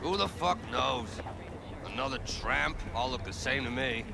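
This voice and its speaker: An actor playing a hostile, drunken, bullying father in a video game, gravelly and belligerent. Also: medium pitch, a little slow, background noise. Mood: weary contempt. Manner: belligerent, swearing, barking orders.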